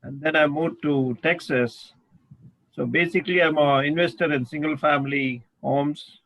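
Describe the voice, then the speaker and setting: A man introducing himself on a video call, speaking haltingly with many restarts. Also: fairly high pitch, fairly even intonation, fairly bright tone, no room sound, low-quality recording. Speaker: real estate investor.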